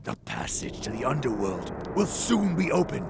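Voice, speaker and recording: An actor playing a scheming, villainous general who gives cruel orders in a video game cutscene. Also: male voice, medium pitch, sing-song, moderate pace, background noise. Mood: threatening.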